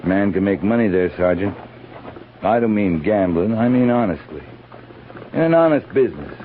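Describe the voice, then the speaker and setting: Man playing a low-voiced, world-weary cavalry captain. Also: expressive, moderate pace, natural voice. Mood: wry, weary. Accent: American. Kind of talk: 1950s radio western acting. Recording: tinny, noisy old radio recording.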